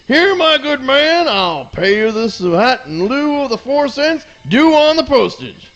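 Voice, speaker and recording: A man's voice: very high voice, sing-song, a little slow, a bit bright, dull audio, exaggerated voice. A man playing a pompous, melodramatic, devoted hound dog in a comic radio play, declaiming in florid theatrical style. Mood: pompous, puzzled.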